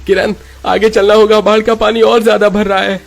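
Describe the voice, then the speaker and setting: A man voicing a poor young father in a melodramatic story, gentle and emotional, with a pleading manner. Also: sits high, flat delivery, a little fast, vocal fry, pitched above the performer's own voice. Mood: urgent. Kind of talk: melodramatic Hindi moral-story voice acting.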